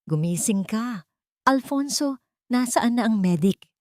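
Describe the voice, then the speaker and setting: Narrator as a firm, loving woman, mellow. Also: a little high, expressive, measured pace, no room sound, clean studio sound, voice pushed up. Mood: anxious, pleading. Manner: firm, emotional. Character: firm, loving woman holding back tears. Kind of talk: dramatic audiobook character reading.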